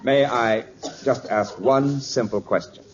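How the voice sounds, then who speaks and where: A man's voice: medium pitch, takes it slowly, natural voice. An actor playing a fussy, put-upon middle-aged father with formal, sputtering exasperation, in old-time radio comedy style. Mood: exasperated. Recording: dull, very noisy vintage radio recording.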